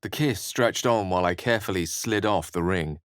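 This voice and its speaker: An actor playing a scholarly, self-assured and romantic human wizard in a video game, with an eloquent, theatrical way of speaking. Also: male voice, sits low, moderate pace, smooth, dry recording, studio quality, close to an everyday voice. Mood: grave, narrating. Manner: eloquent, theatrical, ornate phrasing. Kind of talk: video game character lines and narration.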